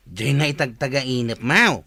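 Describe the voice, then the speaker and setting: An actor playing a skeptical, scolding village companion in a radio comedy-drama, dismissive and needling. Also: male voice, mid-range voice, expressive, a little slow, pushed and bright.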